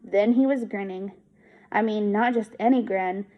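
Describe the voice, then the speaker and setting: A woman teacher reading a children's book aloud in an expressive storytelling style. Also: medium pitch, unhurried, narrow, tinny audio.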